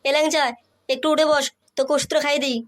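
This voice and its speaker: A woman's voice: high-pitched, flat delivery, quick pace, lightly acted. A woman voicing an eager, urgent young boy in a comic cartoon. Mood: anxious, eager. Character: eager young boy fetching help for friends.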